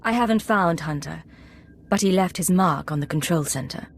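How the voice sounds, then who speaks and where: A woman's voice: lowish voice, moderate pace. A woman playing a cool, resolute Imperial intelligence agent in a video game, speaking in a composed, clipped and stern way. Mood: businesslike. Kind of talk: scripted video game dialogue.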